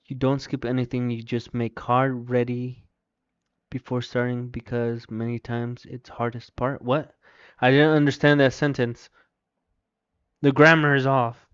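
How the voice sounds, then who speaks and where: A man with a Mexican accent talks slowly through a livestream, muttering to himself as he works and reading chat lines aloud. His voice is smooth and clear. It is recorded dry and a little dull. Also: medium pitch, level tone, no room sound. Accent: Mexican Spanish. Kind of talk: casual live commentary while installing software.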